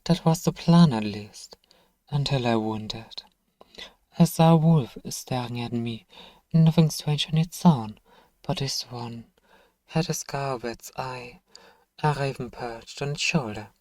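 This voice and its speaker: An ancient, tender vampire voiced by a man in an intimate ASMR roleplay. He speaks very gently and formally, in a soft, dark, relaxed tone. The delivery is unhurried, recorded close and dry like a soothing bedtime tale. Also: mid-range voice, dry recording, close to an everyday voice. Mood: somber storytelling. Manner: gentle, hushed, slow, formal wording. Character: tender, centuries-old Viking-born vampire lover, soothing storyteller. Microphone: close microphone, dry.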